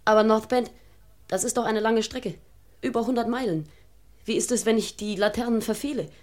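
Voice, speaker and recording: A woman's voice: a little high, fairly quick, a little mellow, close to an everyday voice. An actress playing an anxious young mother being blackmailed in a German radio crime drama, hesitant and pleading. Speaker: actor.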